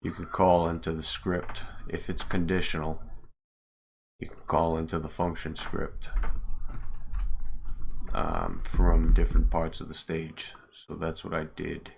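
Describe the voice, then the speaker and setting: Man, creaky fry, slight background noise, casual tutorial muttering, thinking aloud. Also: a bass voice, little pitch movement, measured pace, long pauses. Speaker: streamer, game modder. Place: home, at a computer.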